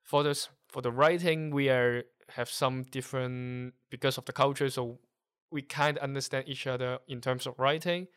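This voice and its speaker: A man from Hong Kong whose first language is Cantonese, speaking English with a Hong Kong accent as a guest, explaining things haltingly while searching for words. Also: medium pitch, fairly even intonation, a bit bright, smooth. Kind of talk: casual conversation.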